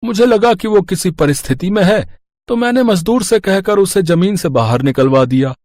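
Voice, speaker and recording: Man acting a worried, kindly older merchant, animated. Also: high-pitched, moderate pace, no room sound, studio quality. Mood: worried, explaining. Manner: fatherly, earnest explaining. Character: worried, kindly older wealthy merchant, male town elder. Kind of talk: Hindi children's cartoon story dub.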